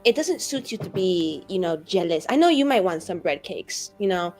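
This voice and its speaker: A woman's voice: lowish voice, very animated, normal speed, darkish tone, faint music behind. A woman voicing a haughty, spiteful and very confident woman in a tabletop game, with a condescending, mock-innocent manner.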